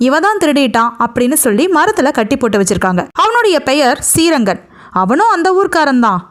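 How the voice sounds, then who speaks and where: A woman telling a story as a narrator, in a dramatic spoken style. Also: high-pitched.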